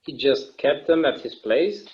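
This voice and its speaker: Guy acting as a thoughtful immortal man in a play reading, halting n earnest, mid pitch, kinda lo-fi muffled sound. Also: lively intonation, darkish tone, natural voice. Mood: amused. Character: wistful, reflective immortal man confiding in his partner. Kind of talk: naturalistic dramatic table read. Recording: slightly dull, lo-fi sound.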